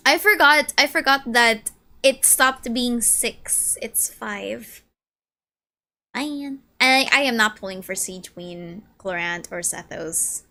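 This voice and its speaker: Bright, excitable young woman streaming. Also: on the high side. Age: early 20s. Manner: excitable, gushing, rambling. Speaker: streamer and video essay creator. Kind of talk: casual gaming stream chat. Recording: music behind the voice. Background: game music.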